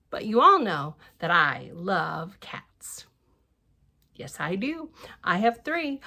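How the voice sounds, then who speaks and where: Woman, children's storytime reader, bright voice, unhurried pace, sing-song, chatty asides. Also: mid-range voice. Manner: animated, sing-song, repeats phrases and affirms herself.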